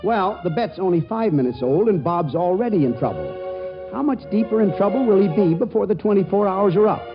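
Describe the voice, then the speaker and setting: Male announcer, 1940s radio narration, high-pitched and animated, music underneath, muffled period sound. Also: fairly quick. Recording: dull old radio broadcast with music.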